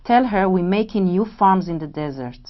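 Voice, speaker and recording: A woman's voice: medium pitch, animated, moderate pace, close to an everyday voice. An actress in a play reading, playing a worried, protective woman in an Israeli family, on a dull recording. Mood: tense.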